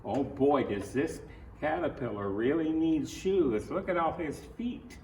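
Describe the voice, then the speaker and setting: A man reading a picture book aloud to children, speaking in simple, deliberate phrases and putting questions to the listener. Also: on the high side, a little mellow. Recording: roomy, distant mic, clearly noisy.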